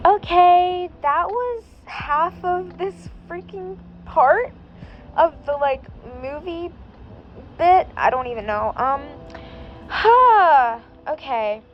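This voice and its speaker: A woman's voice: very high-pitched, expressive, slow pace. A young woman casually reading fanfiction aloud, who sounds tired and rambles between passages. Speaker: fanfiction reader. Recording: dull lo-fi with faint music.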